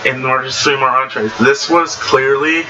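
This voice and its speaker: A man's voice: medium pitch, steady tone, normal speed, highs cut off. A man casually reading stories aloud as a host, stumbling and rereading phrases as he goes. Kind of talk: casual read-aloud with off-the-cuff reactions. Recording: noisy amateur recording.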